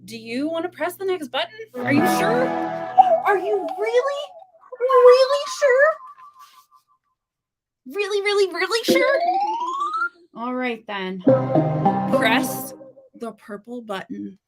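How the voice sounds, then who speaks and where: A woman's voice: very high-pitched, big pitch swings, takes it slowly, pauses often, over loud music. A woman reads a children's picture book aloud in a playful, theatrical, interactive style, full of dramatic exclamations.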